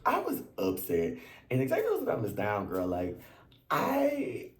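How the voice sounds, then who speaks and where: Recap host, male, deep voice, a bit worn out, chatty and animated, echoey room. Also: measured pace. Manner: animated and chatty, slangy, leaps into high exclamations. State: voice a little worn. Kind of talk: casual chat to camera.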